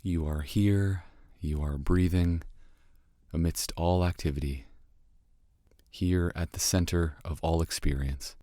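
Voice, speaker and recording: A man leading a guided meditation in a calm, gentle, measured manner with long pauses. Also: low voice, dry recording, studio quality. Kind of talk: guided meditation, slow and soothing instruction.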